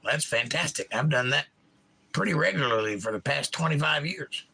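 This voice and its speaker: Crisp-spoken bright man with a Georgia drawl. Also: on the high side, moderate pace. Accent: Georgia, US South. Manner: enunciates crisply, animated, opinionated. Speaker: livestream host. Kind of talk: casual chat reading viewer comments.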